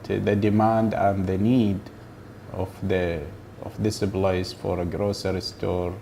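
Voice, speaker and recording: A man from Iraq, a grocery store owner speaking haltingly in a documentary interview, often pausing to find his words. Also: low-pitched, little pitch movement, gravelly, dark tone, slight background noise.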